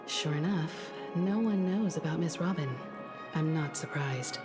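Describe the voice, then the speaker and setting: A woman playing a composed, analytical investigator in a video game, measured, thoughtful and courteous. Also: sits low, music in the background. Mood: pensive. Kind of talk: scripted video game dialogue.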